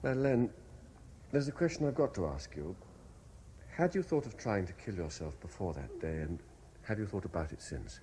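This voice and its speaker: A man's voice: deep voice, very animated, normal speed, mellow, slight background noise. An actor with a British accent playing an earnest, troubled man in love, hesitant and probing, in a 1970s radio drama. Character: earnest, lovestruck, troubled Frenchman, inquisitive and hesitant.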